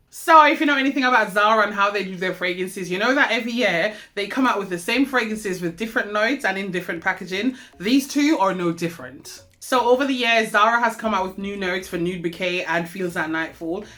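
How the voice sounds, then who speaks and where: A woman's voice: mid-range voice, sharp tone. A woman hosting a fragrance review vlog, chatty and stuffy-nosed from hay fever, often checking in with viewers. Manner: chatty, addresses viewers with tag questions. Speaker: perfume reviewer, channel host.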